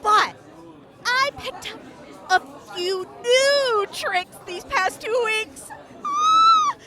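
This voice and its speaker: A woman's voice: very high-pitched, sing-song, extremely slow, over a noisy crowd, heavy background noise, exaggerated voice. A woman playing an eager young vault medic who teases her crewmates and gushes about medicine, in a fan audio drama. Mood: excited. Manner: eager, teasing, gushing.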